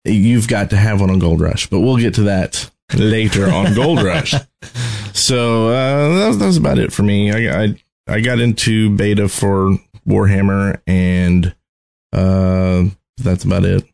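A man's voice: lowish voice, measured pace, gravelly, darkish tone. A man co-hosting a gaming podcast, chatting casually with a wry, joking manner. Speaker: podcast co-host.

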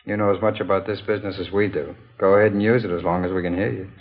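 Smooth wary drug dealer, American, lowish voice, brisk, 1950s radio drama. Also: male voice, fairly even intonation, slight background noise. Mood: bossy. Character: smooth-talking, wary male drug dealer running the racket. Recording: dull 1950s radio recording.

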